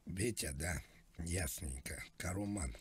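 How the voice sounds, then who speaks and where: A man's voice: deep voice, slow pace, breathy edge, no room sound. A man making a prank call, posing as an older man and talking in a plaintive, rambling, mock-naive way. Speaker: prank caller.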